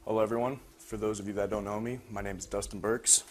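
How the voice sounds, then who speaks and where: A man's voice: lowish voice, fairly even intonation, fast talker. A young man giving an informal project talk as a student presenter. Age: young adult. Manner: frequent throat clearing. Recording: noisy room recording.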